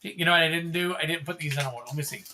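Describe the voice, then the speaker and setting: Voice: mid-range voice, very fast, bright, forceful tone, slight background noise. A livestream host opening card packs, chatting casually, calling out card names and thanking viewers in a rambling way.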